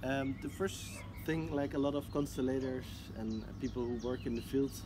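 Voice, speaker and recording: A man's voice: medium pitch, moderate pace, noisy background. A man interviewing a guest, asking his questions hesitantly with many fillers.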